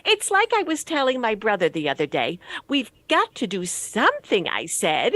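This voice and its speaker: A woman's voice: medium pitch, wildly swooping pitch, normal speed, fairly bright tone, no room sound. An actress playing a flighty, absent-minded older landlady who dithers and stammers, in vintage radio comedy style. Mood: fussy, chatty.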